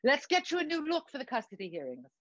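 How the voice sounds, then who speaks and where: A woman's voice: high-pitched, huge pitch swings, quick pace, no room sound, dull audio, voice pushed up. A woman voicing a flamboyant, dramatic man friend with an affected British accent and a grand, campy, emphatic delivery in a stage monologue. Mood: breezy, campy. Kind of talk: theatrical monologue, acted dialogue.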